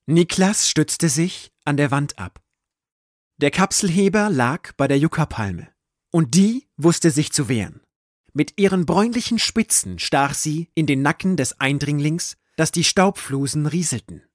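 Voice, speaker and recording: Guy narrating a radio play, measured storytelling, bit higher voice, dry studio sound. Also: lively intonation, no room sound. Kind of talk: scripted audio drama narration.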